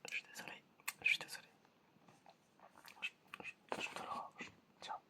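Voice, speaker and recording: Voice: moderate pace, bright, forceful tone. An ASMR performer whispering a roleplay exam in a hesitant murmur, often repeating short phrases. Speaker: ASMR performer playing an examiner.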